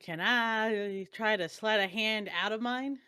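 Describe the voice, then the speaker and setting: A woman's voice: mid-range voice, unhurried, pushed and bright, clear, resonant tone, no room sound, clean studio sound. A woman playing a tabletop game, chatting casually in short replies. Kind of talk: casual chat.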